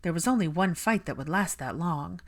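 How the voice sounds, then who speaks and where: A woman's voice: lowish voice, animated, moderate pace. A woman reading a story aloud as an audiobook narrator, in scripted third-person narration. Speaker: audiobook narrator of fan fiction.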